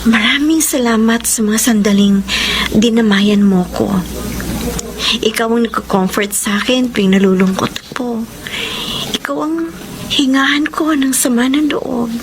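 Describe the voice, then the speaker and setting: An actress playing a gentle, affectionate young woman with a Filipino accent in a radio romance drama. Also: fairly high pitch, unhurried, pauses often, background noise. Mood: gentle, consoling. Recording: music bed behind the voice.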